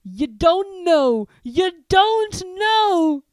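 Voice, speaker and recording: A reader voicing a huge, hot-tempered male giant from a storybook, who talks in a loud, gruff rural dialect. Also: high voice, very animated, moderate pace, no room sound, studio quality, pitched above the performer's own voice. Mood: outraged. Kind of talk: audiobook character reading.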